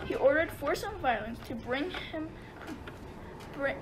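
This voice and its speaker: High-voiced woman narrator, noisy recording. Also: expressive, measured pace. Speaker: narrator of a radio play. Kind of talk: scripted storytelling narration.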